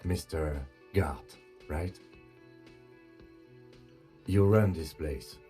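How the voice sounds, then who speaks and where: Low, mellow, composed police lieutenant. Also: male voice, soft background music, exaggerated voice. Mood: formal, firm. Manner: measured, polite, formal, matter-of-fact. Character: calm, professional male police lieutenant, restrained and businesslike. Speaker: video game character. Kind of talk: video game voice acting.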